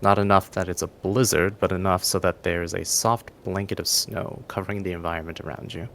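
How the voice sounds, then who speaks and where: A low-pitched man with a gravelly creak in his voice narrates a fantasy tabletop game as game master. There is clear low rumbling noise behind him. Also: fairly even intonation, fairly quick, fairly bright tone.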